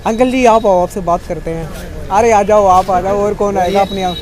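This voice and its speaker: A man with a fairly high voice hosts a street interview vlog, chatting to his viewers and firing off casual questions. He talks in a conversational, easygoing way. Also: steady tone, a little mellow, rough recording. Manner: conversational, asks quick questions, addresses viewers as friends. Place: city street outdoors.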